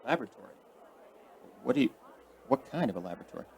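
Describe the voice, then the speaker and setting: A middle-aged man acting in a radio drama as a stern but fatherly investigator, measured and probing. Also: mid-range voice, lively intonation, vocal fry, darkish tone, a faint crowd.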